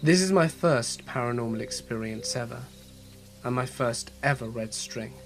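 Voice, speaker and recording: A man's voice: medium pitch, normal speed. Male horror-story host, mid-range voice touched by a mild cold, narrating over a music bed with crickets. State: slight head cold. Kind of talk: scripted first-person horror story narration and channel sign-off.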